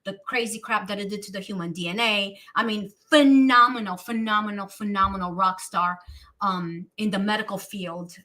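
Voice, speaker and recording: A woman from Romania with a Romanian accent, hosting a casual livestream chat in an excitable, gushing way with frequent restarts. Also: medium pitch, normal speed. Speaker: host.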